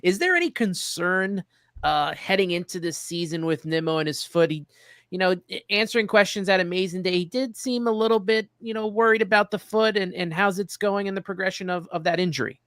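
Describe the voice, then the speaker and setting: A man's voice: sits high, fairly quick, fairly bright tone, no room sound, studio quality. A man hosting a sports show, chatting through baseball analysis in a conversational way.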